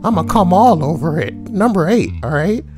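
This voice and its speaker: A man's voice: high voice, lively intonation, normal speed, music in the background. A man voicing a brash, foul-mouthed schoolboy, reading posts for comedy and adding slangy, mocking asides. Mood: sardonic, casual. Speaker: host reading forum posts. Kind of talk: comedic reading with crude commentary.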